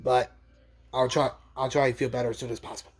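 Stuffy-voiced man filming on his phone at home; halting, repetitive, casual. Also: medium pitch, little pitch movement, breathy edge. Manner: halting, repeats words and restarts often. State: has a cold. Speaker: collector showing DVDs. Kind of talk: casual unboxing vlog. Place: bedroom, indoors. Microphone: handheld phone pointed at bed.

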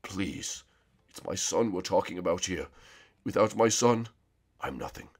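A man voicing a gruff monster king father who speaks slowly and heavily. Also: low-pitched, animated, full character voice. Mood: grieving.

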